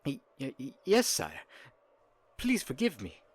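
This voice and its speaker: A man's voice: medium pitch, huge pitch swings, unhurried, no echo, pitched above the performer's own voice. A man voicing a cowed orc commander in a fantasy audio roleplay, stammering and grovelling in panic. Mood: panicked pleading. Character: cowed male orc commander, pleading underling.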